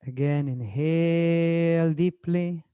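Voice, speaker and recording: A man from Israel speaks as a spiritual teacher and meditation guide. His voice is relaxed and somewhat high for a man, and he takes his time with very slow, courteous phrasing. The sound is dry. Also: smooth, no room sound, lo-fi sound.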